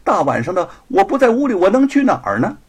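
A man voicing a sharp-tongued, coquettish young mistress in an audiobook story. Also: high voice, sing-song, quick pace, husky, voice pushed up, exaggerated voice. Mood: indignant composure. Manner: coquettish, biting.

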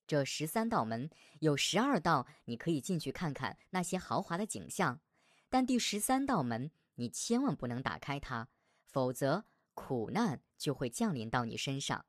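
A man voicing the Virgin Mary as a gentle but stern heavenly foster mother in a storybook tale, measured and solemn. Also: on the high side, big pitch swings, dry recording, clean studio sound. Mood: earnest, cautioning.

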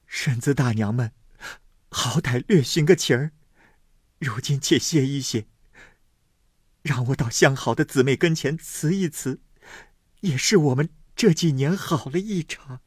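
A man's voice: medium pitch, measured pace, long pauses, a little mellow, slightly rough, dry recording, studio quality, voice pushed up. A man voicing a young maidservant who is being sent away, tearful and begging. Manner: weeping, begging.

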